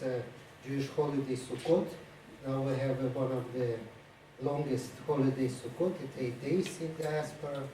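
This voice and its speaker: A man who is not a native English speaker gives a formal conference speech in a halting, hesitant way. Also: medium pitch, dark tone. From Ukraine-born, lived mostly in Estonia. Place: indoor conference room. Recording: echoey room, distant mic, faint noise.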